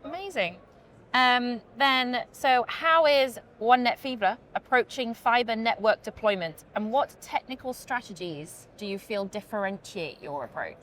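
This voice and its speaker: Lady interviewer at an event, voice a bit high, chatty questions, really encouraging. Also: measured pace, a bit bright, a little hiss or hum.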